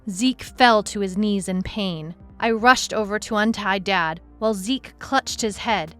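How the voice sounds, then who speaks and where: A woman's voice: medium pitch, measured pace, soft background music, lightly acted. A woman playing a determined detective who narrates her own case in a scripted audio drama. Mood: urgent. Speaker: lead narrator.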